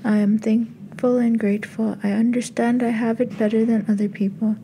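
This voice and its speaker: A woman's voice: a little high, little pitch movement, moderate pace, dark tone, slight background noise, low-quality recording. A woman reading a simple, repetitive poem aloud. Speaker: poem reader.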